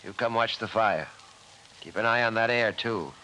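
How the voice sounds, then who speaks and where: An actor playing a calm, practical middle-aged father in a 1950s radio drama. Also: fairly low pitch, moderate pace, rough recording. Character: calm, practical father protecting his family. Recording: vintage radio recording, faint noise.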